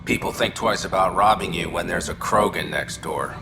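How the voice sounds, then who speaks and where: An actor playing a gruff, wry exile who runs a rough outpost inn in a science fiction video game. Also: male voice, low-pitched, normal speed, noisy background, natural voice. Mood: guarded, wry.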